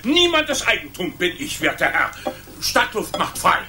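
A medieval blacksmith in a German radio play. His bright voice swings widely in pitch as he stammers, fawning one moment and indignant the next. Faint noise sits behind him. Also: wildly swooping pitch, moderate pace, full character voice. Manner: stammering, servile yet indignant. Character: flustered, proud free town blacksmith and father.

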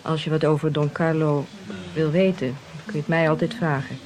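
A man's voice: fairly high pitch, little pitch movement, normal speed, crowd noise, lightly acted. A man playing a gossipy, pious country innkeeper in a radio play, with a folksy, insinuating way of talking. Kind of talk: radio drama acting. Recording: radio play with clear background noise.

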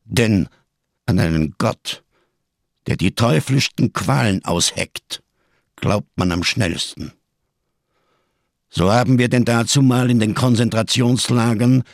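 An actor in a radio crime drama playing a giant, scarred, world-weary survivor who speaks in grand, bitterly ironic phrases. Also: male voice, on the low side, gravelly, no room sound, studio quality. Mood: sardonic, grave. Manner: grandiose, bitterly ironic, slow rhetorical delivery.

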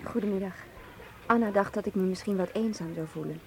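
A woman's voice: medium pitch, fairly quick, dark tone, slight background noise, close to an everyday voice. A woman acting in a radio drama as a poised, evasive and coolly polite researcher.

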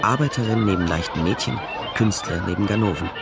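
An actor playing a world-weary private detective who narrates a crime story in a measured, sombre radio drama style. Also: male voice, fairly low pitch, loud music behind the voice, natural voice. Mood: reflective. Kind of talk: scripted first-person radio drama narration.

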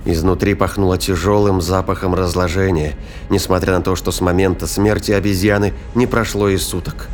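A man's voice: low-pitched, flat delivery, brisk, slight background noise. A man giving scripted, dramatic narration of a science fiction story as its narrator. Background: music.

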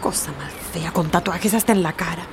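A woman's voice: on the low side, a little fast, noisy background, lightly acted. An actress playing a nosy, gossipy older village woman, fussy and scandalized, in a radio drama. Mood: impatient, gossipy.

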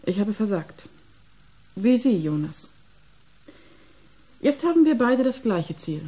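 A woman's voice: a little high, big pitch swings, slow, mellow, slightly rough, full character voice, low-quality recording. A woman playing a cool, determined agent in a German radio play, composed, businesslike and assertive.

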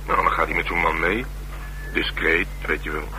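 An actor playing a streetwise, sardonic middle-aged police detective in a Dutch radio drama, talking bluntly and colloquially with dry teasing. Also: male voice, extremely low pitch, steady tone, normal speed, creaky. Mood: matter-of-fact. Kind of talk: naturalistic radio drama dialogue. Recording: humming, noisy old radio broadcast.

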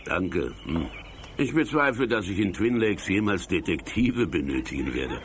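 An actor playing a skeptical, condescending farmer in a vintage children's detective audio play, with a mocking drawl. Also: male voice, low voice, big pitch swings, normal speed, slight background noise.

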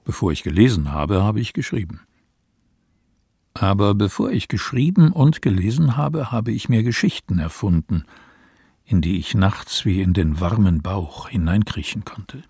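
Husky, pensive low-voiced man. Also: animated, normal speed, darkish tone, no echo, studio quality. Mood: reflective. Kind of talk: literary radio feature dialogue and narration.

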